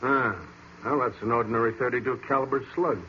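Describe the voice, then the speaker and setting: An actor playing a gruff, bossy American police sergeant who talks in tough slang, in 1940s radio style. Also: male voice, medium pitch, steady tone, brisk, bright, forceful tone, natural voice. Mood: brisk, commanding. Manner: blustering, ungrammatical tough-cop slang. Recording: muffled, hissy old radio recording.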